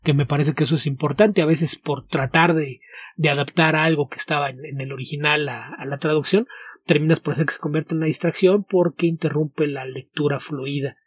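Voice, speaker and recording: A man with a somewhat high, husky voice, talking in a casual podcast. He explains at length and in a steady way, often circling back to restart his phrases. The sound is close and dry, with little room. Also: fairly quick, no room sound. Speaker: podcast co-host, comic translator.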